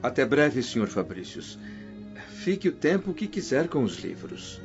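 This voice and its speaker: An actor playing a humble, deferential middle-aged scholar in a Brazilian radio drama, speaking in formal, measured phrases. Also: male voice, mid-range voice, big pitch swings. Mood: polite, modest. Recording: muffled radio drama with music underneath.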